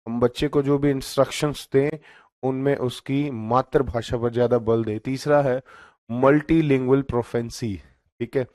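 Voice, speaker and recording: A man's voice: medium pitch, a little mellow, no echo. Guy teaching exam prep, mid voice, dry close sound, keeps checking in with his students. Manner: explanatory, frequent "theek hai" checks.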